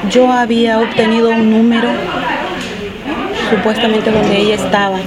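A woman's voice: on the high side, little pitch movement, poor audio. A woman in her forties from Honduras, gives an earnest, pleading street interview as a migrant mother searching for her daughter, stumbling over her words at times. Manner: earnest, pleading, halting restarts. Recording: loud crowd noise outdoors.

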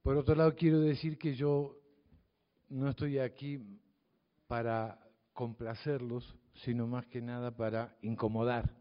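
An older man with a Southern Cone Spanish accent addresses an audience in a measured, reflective way, with deliberate pauses and a provocative edge. His voice sits at a middle pitch and carries a long reverb from a large hall. The sound is a bit dull and muffled. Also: little pitch movement. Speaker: speaker, mathematics teacher. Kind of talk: public speech to an audience.

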